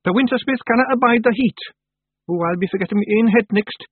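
A man voicing a small, boisterous fairy man with a thick Scottish accent and a husky voice. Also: high-pitched, fast, no room sound, phone-quality sound, voice pushed up. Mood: hearty.

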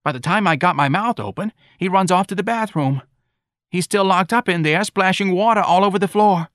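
A man's voice: sits high, brisk, a bit bright, no echo, studio quality, voice pushed up. A man playing a plaintive, fretful aging mother in an audiobook, whining. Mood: exasperated. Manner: whining, aggrieved. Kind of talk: audiobook character dialogue.

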